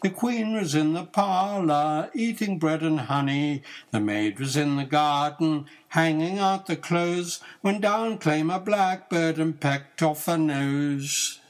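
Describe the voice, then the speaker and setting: An older man reciting nursery rhymes as a grandfather would, in a rhythmic, measured singsong with drawn-out line endings. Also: fairly high pitch.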